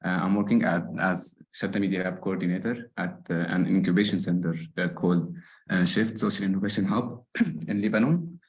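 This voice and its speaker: Very deep-voiced man from Syria. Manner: halting, frequent "uh" pauses. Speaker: media lab coordinator, panelist. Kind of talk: panel introduction.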